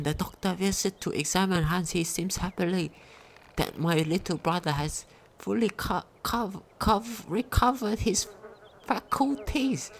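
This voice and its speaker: A woman reading a game diary aloud as a video commentator, sometimes stumbling over her words. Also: lowish voice.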